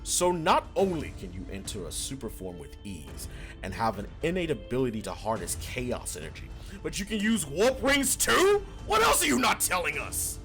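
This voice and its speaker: A man voicing a suspicious, hot-tempered young echidna guardian with confrontational, skeptical delivery in an animated fan dub. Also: medium pitch, moderate pace, soft background music, exaggerated voice. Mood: accusing, angry.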